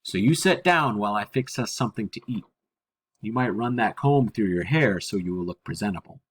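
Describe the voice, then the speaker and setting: A man plays a stern but kind-hearted older working woman from a storybook. The talk is blunt and folksy, husky in tone and swinging widely in pitch. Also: lively intonation, a little fast. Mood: frank, kindly. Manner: folksy, blunt, nonstandard grammar.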